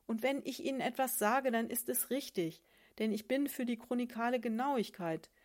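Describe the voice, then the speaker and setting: A woman doing a pompous, lecturing sponge gentleman. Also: fairly high pitch, normal speed, pitched above the performer's own voice, lightly acted. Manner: pedantic, lecturing, precise. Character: pompous know-it-all male sponge. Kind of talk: storybook reading.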